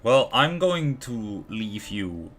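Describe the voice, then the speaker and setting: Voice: medium pitch, big pitch swings, slow pace, a bit bright. A game streamer giving casual, blunt live commentary and informal reactions to a game's dialogue. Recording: music in the background. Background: music.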